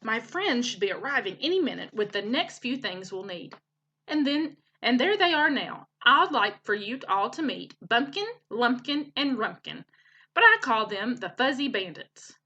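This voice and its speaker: A woman's voice: on the high side, sing-song, moderate pace, sharp tone, breathy edge, muffled recording, full character voice. A woman voicing a jovial, hearty male beaver craftsman who calls out to his pals in a children's storybook. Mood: cheerful, welcoming.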